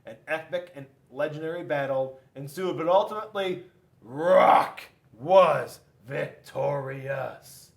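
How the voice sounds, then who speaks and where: Male storybook reader, higher-pitched, slightly gravelly, animated, stretching key words for drama. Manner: dramatic emphasis, drawn-out words. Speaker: police school resource officer reading to children. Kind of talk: animated children's storybook reading.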